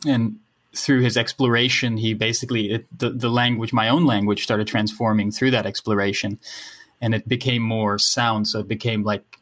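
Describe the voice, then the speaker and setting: A man's voice: fairly low pitch, a bit bright. Man musing abstractly. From Netherlands. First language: Dutch. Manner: musing, abstract, many restarts. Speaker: podcast guest, writer. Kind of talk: casual podcast conversation.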